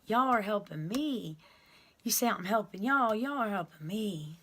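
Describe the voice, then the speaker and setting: A woman, talking slowly to her viewers. She rambles and restarts often, with plenty of ums. Her voice is loud and carries. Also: mid-range voice, lively intonation, husky. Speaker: YouTuber telling her prison story. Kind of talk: casual storytelling to viewers.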